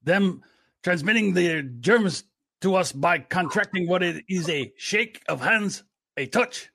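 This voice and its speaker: A man doing an as an old, calm martial arts teacher, speaking slowly and deliberately. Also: high-pitched, creaky, breathy edge, dry recording, studio quality, voice pushed up, full character voice. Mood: solemn.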